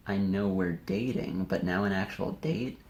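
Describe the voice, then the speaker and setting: Low-voiced man with chatty asides. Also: lively intonation, moderate pace, creaky, a little mellow. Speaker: co-host and amateur fiction writer. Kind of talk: casual commentary and informal story reading. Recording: faint background noise, room ambience.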